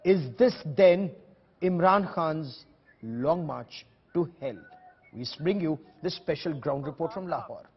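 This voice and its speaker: High-pitched emphatic Indian news anchor, male. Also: lively intonation, takes it slowly, muffled recording, faint music behind. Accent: Indian English. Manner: emphatic, repetitive, theatrical delivery. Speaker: TV news anchor and debate host. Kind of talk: dramatic news presenting and pointed questioning.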